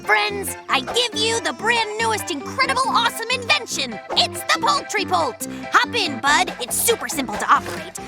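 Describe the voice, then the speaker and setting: An actor voicing an excitable, stubborn, boastful little polar bear boy in a children's cartoon, with exuberant, dramatic announcements. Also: very high-pitched, moderate pace, vocal fry, few pauses, full character voice. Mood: showy, confident. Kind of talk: children's animated cartoon dialogue. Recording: cheerful children's music behind the voice.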